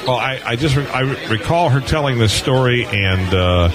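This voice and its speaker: A man's voice: medium pitch, animated, normal speed. A man hosting a casual live radio interview, curious and thinking aloud as he talks. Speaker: radio show host, interviewer. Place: busy indoor convention hall booth. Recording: busy convention floor crowd noise. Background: crowd chatter.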